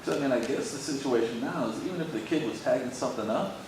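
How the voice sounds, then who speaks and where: A man's voice: mid-range voice, quick pace. A man in an audience asking probing questions in a casual, colloquial way. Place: large echoey indoor arts venue. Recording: very echoey room, distant mic, noisy.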